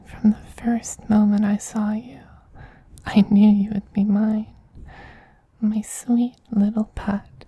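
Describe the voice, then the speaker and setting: A man voicing a tender, possessive male vampire queen in a soft-spoken ASMR roleplay, in a slow, breathy, soothing whisper with gentle dominance. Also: high-pitched, level tone, no room sound, exaggerated voice, poor audio. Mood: tender, reassuring.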